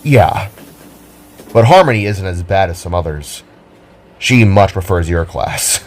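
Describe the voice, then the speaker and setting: A man's voice: sits low, lively intonation, a little slow. A man voicing a grumbling but loving young male teacher creature in an audio drama, hesitant and wry, over music. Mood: hesitant, worried. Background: background music.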